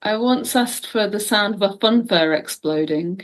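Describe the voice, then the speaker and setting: A woman's voice: mid-range voice, measured pace. A British woman speaking casually and emphatically as an interview guest, telling stories with self-mocking humour. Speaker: guest, audio drama and TV writer.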